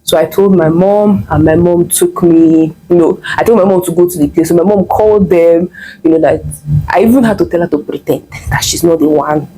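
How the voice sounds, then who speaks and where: A young woman with a Nigerian accent tells her story straight to camera, chatty and animated, and she plays out the lines of the people in it. Her voice sits a little low and has a soft, mellow tone. She sounds like a vlogger talking casually to her viewers. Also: fairly quick, rough recording. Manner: chatty, animated retelling with quoted dialogue and laughter. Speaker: vlogger and online MBA student.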